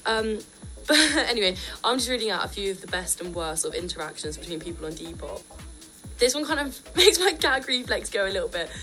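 Young woman, student radio host, slightly croaky, chatty and opinionated, soft music under her. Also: mid-range voice, animated, a little fast, low-quality recording. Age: young adult. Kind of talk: casual radio chat.

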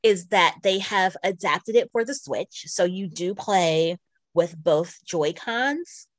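Middle-aged woman podcast host, slightly low voice, dry close sound, chatty n casual. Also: animated, takes it slowly, no echo. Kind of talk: casual chat about video games.

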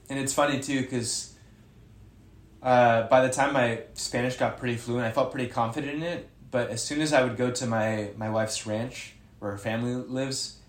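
A young man who is a guest on a podcast, chatting casually in a rambling way and correcting himself. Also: medium pitch. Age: young adult. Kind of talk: casual interview chat. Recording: echoey room.

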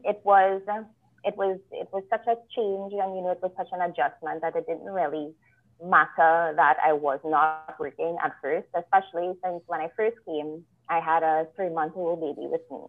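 A woman from Trinidad, a lawyer guest telling her story in a measured, reflective way over a remote call. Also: fairly low pitch. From Trinidad and Tobago. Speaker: guest, attorney. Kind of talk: conversational interview. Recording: tinny phone-like call line.

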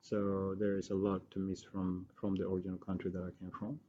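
A man from Sudan who works as a school learning support officer, answering interview questions in a measured, slightly halting way. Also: completely flat delivery, warm tone, a little hiss or hum. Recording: dull low-fidelity school recording.

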